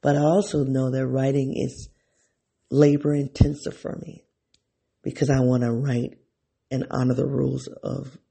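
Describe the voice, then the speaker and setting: A man's voice: mid-range voice, level tone, moderate pace, gravelly, warm tone, slightly rough. A man thinking aloud in a reflective solo monologue, often rephrasing himself and talking straight to his listeners. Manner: talks directly to listeners, informal.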